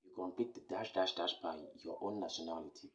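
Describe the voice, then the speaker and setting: A man from Cameroon hosting a beginner French lesson, repeating key phrases slowly and emphatically. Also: low voice, steady tone, a bit bright, lo-fi sound. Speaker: French teacher, channel host. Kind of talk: slow, repetitive beginner language lesson.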